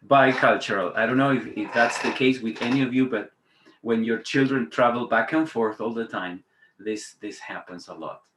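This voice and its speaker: A man's voice: medium pitch, moderate pace, fairly bright tone, a little hiss or hum. A man in his fifties from Argentina, a native Spanish speaker, chatting informally and hesitantly as a guest speaker on a webinar. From Patagonia, Argentina. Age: 50s. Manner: chatty, hesitant, conversational asides. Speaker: guest speaker, teacher trainer. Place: indoors on a video call. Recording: roomy, echoey webinar audio.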